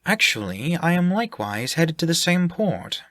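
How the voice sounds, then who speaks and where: A man voicing a male half-elf whose smooth, courtly speech is both friendly and sinister. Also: on the high side, very animated, normal speed, a bit bright, no echo, studio quality, pitched above the performer's own voice. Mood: silky.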